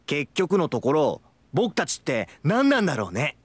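A childlike, cheerful cartoon goofball of a young man, with a very high, bright voice that swings widely. He is exuberant and boyish, in the manner of an anime mobile game character, and the sound is close and dry. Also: huge pitch swings, normal speed, breathy edge, no room sound, full character voice. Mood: curious, musing. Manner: exuberant, bursting into shouts and laughs.

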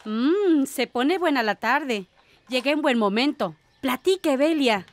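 Woman as chatty, higher-voiced visiting cousin. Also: animated, a little slow, over music. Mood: teasing. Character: sociable, lightly teasing adult cousin visiting the village. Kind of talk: radio drama dialogue.

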